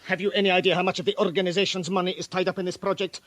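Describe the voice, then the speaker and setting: A man's voice: high voice, flat delivery, racing, a bit bright, no room sound. A man playing a bullying, hot-tempered Italian crime boss with an Italian accent in a comic radio drama, domineering and menacing. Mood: furious. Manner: domineering, menacing, mixes Italian into his speech. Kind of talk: radio comedy drama acting.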